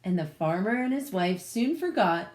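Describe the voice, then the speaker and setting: A woman reading a children's story aloud expressively, adding casual asides as she goes. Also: medium pitch. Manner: expressive, pausing to comment on the pictures. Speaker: picture-book reader.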